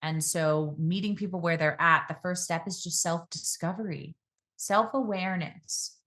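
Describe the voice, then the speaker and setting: Low-voiced woman, calm speaking coach. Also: normal speed. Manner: calm, varies pace and pitch to demonstrate voice. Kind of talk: reflective podcast interview.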